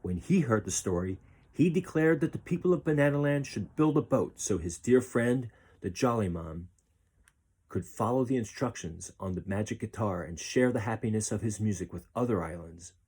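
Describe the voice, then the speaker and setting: A man reading a children's picture book aloud as a storyteller, with expressive, deliberate phrasing. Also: on the low side, breathy edge.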